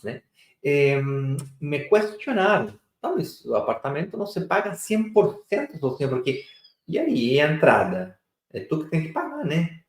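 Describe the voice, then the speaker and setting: Lively Chilean man, echoey room. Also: medium pitch. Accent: Chilean Spanish accent in Portuguese. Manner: chatty, self-correcting, drifts into Spanish words. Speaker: host, real estate broker. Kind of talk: casual presenting to camera.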